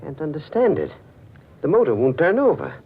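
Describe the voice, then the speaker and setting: An actor playing a concerned, take-charge doctor in a 1940s radio thriller, firm and urgent. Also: male voice, a little high, big pitch swings, normal speed, vocal fry. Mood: urgent, alarmed. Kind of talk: 1940s radio suspense acting. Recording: dull, hissy 1940s radio recording.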